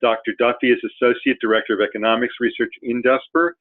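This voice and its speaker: A man hosting a webinar, introducing the speakers in a halting way with many fillers. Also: medium pitch, flat delivery. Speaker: webinar host. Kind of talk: hesitant spoken introduction. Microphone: conference call. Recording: tinny phone-line audio.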